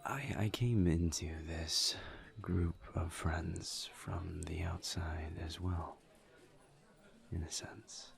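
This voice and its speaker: A man playing a gentle, guarded incubus in an intimate audio roleplay, murmuring breathily and haltingly with careful pauses. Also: steady tone, exaggerated voice. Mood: hesitant, thoughtful. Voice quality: breathy, creaky, low murmur. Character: gentle, guarded male incubus demon, earnest and reserved. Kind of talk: intimate roleplay audio drama, partly whispered.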